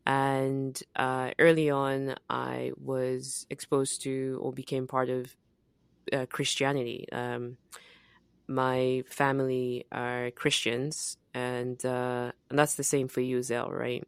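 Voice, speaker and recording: A young woman from Zambia co-hosts a casual podcast in a very deep, slow voice. Her tone is smooth and clear, and the room sounds dry. She talks in a reflective, relaxed way, full of fillers and easy laughs. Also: no echo. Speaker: podcast co-host.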